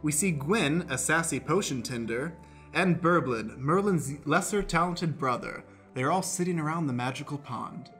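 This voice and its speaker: A man's voice: a little high, normal speed. A man narrating stage directions as the stage manager of a comic improvised radio play. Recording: loud music behind the voice.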